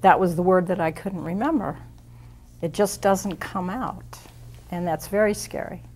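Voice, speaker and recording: A woman's voice: on the low side, a little slow, a little mellow, a little hiss or hum. A woman in her sixties speaking candidly in an interview. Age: 60s. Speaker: program participant.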